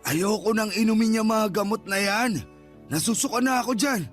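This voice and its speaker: A Filipino man playing a stubborn, irritable, ailing middle-aged father in a radio drama, gruff and confrontational. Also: sits high, moderate pace, close to an everyday voice. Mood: irritated. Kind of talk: Tagalog radio drama acting. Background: background music.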